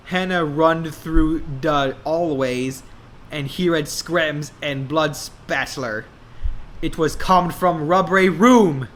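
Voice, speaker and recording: A man narrates a story as a mock-dramatic reading. His voice is fairly high and bright, and he takes each phrase slowly and deliberately, keeping it deadpan. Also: a little hiss or hum. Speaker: dramatic reader of fan fiction.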